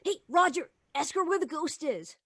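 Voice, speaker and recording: A woman playing a brash, excitable young American boy in an old-time radio drama. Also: sits high, moderate pace. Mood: eager, cheeky.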